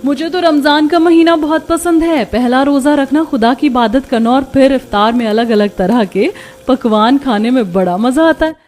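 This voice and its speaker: A woman voicing friendly, chatty young housewives in a cartoon story, with expressive neighbourly talk. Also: high voice, moderate pace, voice pushed up, close to an everyday voice. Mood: cheerful, chatty.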